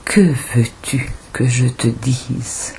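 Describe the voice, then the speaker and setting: Female poetry reader, heavily breathy husky tone, slow solemn delivery. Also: rumbling low, warm tone, low-quality recording. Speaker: volunteer audiobook reader. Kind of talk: poetry recitation.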